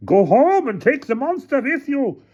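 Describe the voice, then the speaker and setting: A man voicing indignant village men heckling loudly from a storybook mob. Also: extremely high pitch, very animated, moderate pace, breathy edge, muffled recording, pitched above the performer's own voice, heavily acted. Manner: shouting, indignant.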